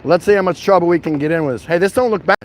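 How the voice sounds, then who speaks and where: A man's voice: high voice, rapid-fire. An American man chatting casually and jokily to his viewers as a folksy vlog host, recorded outdoors. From Milwaukee, United States. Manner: folksy, colloquial, jokey. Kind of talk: casual livestream chat to viewers. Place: outdoors at a city food truck.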